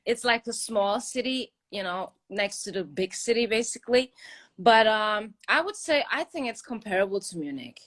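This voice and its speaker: A woman's voice: medium pitch, expressive, moderate pace, a bit bright. A woman from Turkey calling in to a live stream, chatting eagerly and laughing easily. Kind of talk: casual chat.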